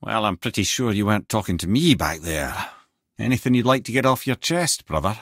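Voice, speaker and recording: An actor with a Scottish accent playing a wry, worldly old storyteller and adviser in a video game, husky and eloquent, speaking with theatrical flair and dry asides. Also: male voice, fairly low pitch, lively intonation, a little fast, fairly bright tone, no room sound. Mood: wry, conversational. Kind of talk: video game character dialogue and mythic storytelling.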